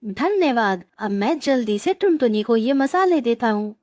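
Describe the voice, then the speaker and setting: Cartoon crow, woman performer: caring male friend, slightly high voice, earnest, close dry recording. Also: animated, measured pace, a little mellow, no room sound. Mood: pleased. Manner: earnest, helpful. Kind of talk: cartoon moral story dialogue.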